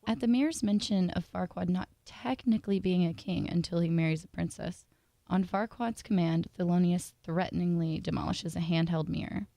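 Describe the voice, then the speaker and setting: A woman reading a scripted analytical video essay in a measured, formal way. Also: lowish voice, vocal fry, dark tone, dry recording.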